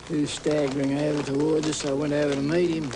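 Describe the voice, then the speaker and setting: A man's voice: a little high, level tone, a little fast, background noise. An Australian-accented man recounting a memory as an eyewitness in an interview.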